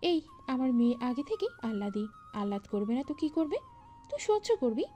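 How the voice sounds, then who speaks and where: A woman's voice: high-pitched, big pitch swings, normal speed, soft background music. A woman playing an affectionate, teasing middle-aged mother in an audio story. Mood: mock scolding, fond. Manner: gently teasing, fond. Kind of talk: Bengali audio story acting.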